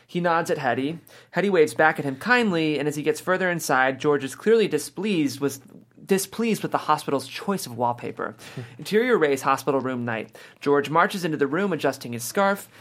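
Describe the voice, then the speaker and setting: A man's voice: fairly high pitch, fairly bright tone. Man narrating a screenplay.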